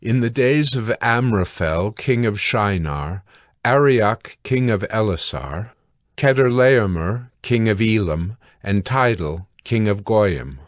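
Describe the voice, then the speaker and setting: A man reads scripture as a narrator. His voice is low and his delivery slow, measured and deliberate. The recording is dry and close. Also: expressive, no echo.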